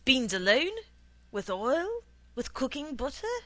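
A woman voicing an impatient male shopkeeper in a storybook, rough and curt. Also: medium pitch, sing-song, a little slow, fairly bright tone. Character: impatient, gruff male bean seller.

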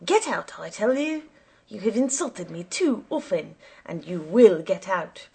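An irascible old cabman from a storybook, acted out by a woman reader, quick to take offence. The voice has a creaky, rough edge and declaims its grievances in formal, indignant phrases. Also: fairly high pitch, animated, voice pushed up, heavily acted. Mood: enraged. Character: enraged, perverse old male taxi driver, easily offended.

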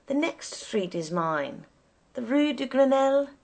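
A woman's voice: medium pitch, expressive, a little slow, darkish tone, breathy edge. A woman voicing a stubborn but polite taxi passenger in an audiobook, speaking in formal, insistent, emphatic phrases. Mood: urgent protest. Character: indignant, stubborn, polite foreign taxi passenger, the story's narrator.

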